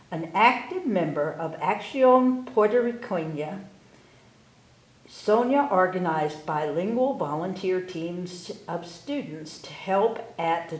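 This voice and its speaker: A woman hosting a library story time, reading aloud to children with deliberate phrasing. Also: lowish voice. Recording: roomy, echoey indoor sound.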